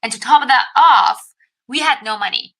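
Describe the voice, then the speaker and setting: A woman's voice: fairly high pitch, expressive, normal speed, bright, forceful tone, low-quality recording. A woman from Iran hosting an investing webinar, telling her personal story to viewers in an upbeat presenting style.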